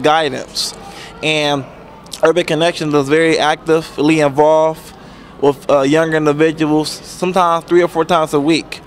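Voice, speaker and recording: A man in his twenties, speaking earnestly in a halting way with many pauses and restarts about mentoring work. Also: on the high side. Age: 20s. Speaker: interviewee, youth mentor. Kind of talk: unscripted talk about community programs. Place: outdoors at a transit center.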